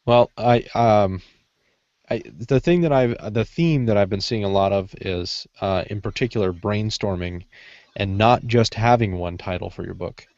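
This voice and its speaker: A man chatting casually on a podcast panel, halting often and restarting as he searches for words. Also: lowish voice, darkish tone, dry recording, muffled recording. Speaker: podcast panelist discussing writing craft. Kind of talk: casual unscripted chat.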